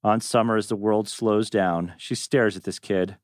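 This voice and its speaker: A man narrating stage directions from a script in an animated way. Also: fairly low pitch, moderate pace, no echo, clean studio sound.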